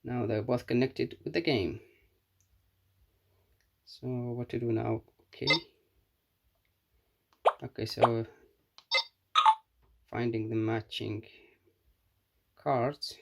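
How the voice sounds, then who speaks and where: A man's voice: medium pitch, fairly even intonation, takes it slowly, pauses often. A man giving a casual, unscripted product demonstration as a reviewer, hesitant and thinking aloud as he goes. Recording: clearly noisy background with clicks.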